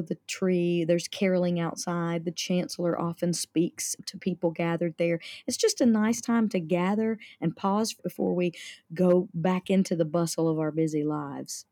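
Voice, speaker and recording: A woman's voice: on the low side, no echo. A woman speaking enthusiastically as a guest in a radio studio interview. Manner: enthusiastic, warm praise. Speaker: theater director and guest. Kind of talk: casual radio interview chat.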